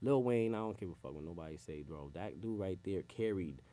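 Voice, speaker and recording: A Puerto Rico-born man, a rapper, chatting loosely in street slang as an interview guest, his sentences trailing off low. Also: lively intonation, a little fast, dark tone. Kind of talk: casual interview chat.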